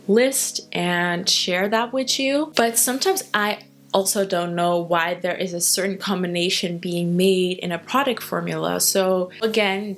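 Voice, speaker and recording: A woman in her late twenties whose first language is Dutch, giving a candid, chatty personal update as a hair care vlogger. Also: on the low side. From Netherlands. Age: late 20s. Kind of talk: casual personal update to camera.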